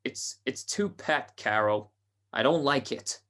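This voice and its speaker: A man acting a nervous, slow-witted gangster's henchman in a radio play, hesitant and stammering, with slangy speech. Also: mid-range voice, animated, bright, forceful tone. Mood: doubtful, uneasy.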